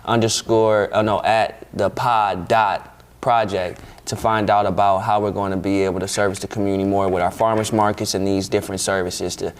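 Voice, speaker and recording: Young guy in his 20s, low voice, earnest, lots of "you know" and "man". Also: flat delivery, normal speed. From Ohio, USA. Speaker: guest, urban farm founder. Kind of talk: casual interview.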